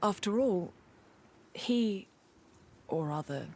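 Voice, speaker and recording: An actress playing a spirited, modest young heroine in a video game, playful and easygoing. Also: female voice, mid-range voice, lively intonation, slow, mellow, a little hiss or hum. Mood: friendly. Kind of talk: video game cutscene voice acting.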